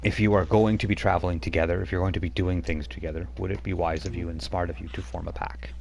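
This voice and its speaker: Deep-voiced game master explaining rules. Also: male voice, little pitch movement, fast, gravelly, darkish tone. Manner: explains rules patiently, stops to check notes. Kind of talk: running a tabletop game. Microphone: streaming mic.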